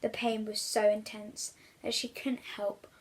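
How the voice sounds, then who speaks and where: A woman reading a children's story aloud in an expressive storytelling style, as the reader addressing young listeners. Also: mid-range voice, a little slow, breathy edge.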